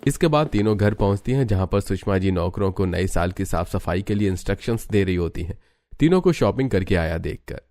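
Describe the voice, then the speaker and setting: A man's voice: deep voice, animated, brisk, darkish tone. A man reading scripted narration for a family cartoon story.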